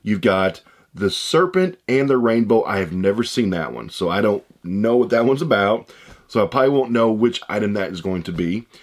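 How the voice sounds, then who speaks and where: A man in his thirties with a hoarse voice he says he is losing, chatting casually as he unboxes items at a desk indoors. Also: lowish voice, lively intonation, moderate pace. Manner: chatty, rambling, upbeat. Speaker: unboxing channel host. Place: room with shelves of shoe boxes, indoors.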